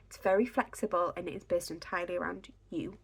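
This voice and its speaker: A young woman with a slightly raspy, croaky voice, chatting casually to a camera on a tripod at home, giving pregnancy advice. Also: on the low side, fairly even intonation, fast talker. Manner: chatty, filler-heavy, reassuring. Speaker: pregnancy vlogger. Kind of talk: casual instructional talk to camera.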